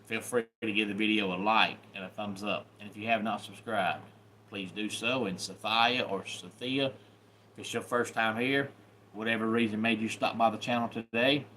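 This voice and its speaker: A man's voice: on the low side, fairly even intonation, normal speed, sharp tone, a little hiss or hum. A man with a Southern accent, hosting a live stream in a folksy, welcoming manner. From Southern United States. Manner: folksy and welcoming, greets viewers by name. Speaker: YouTube channel host. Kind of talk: casual live-stream chat.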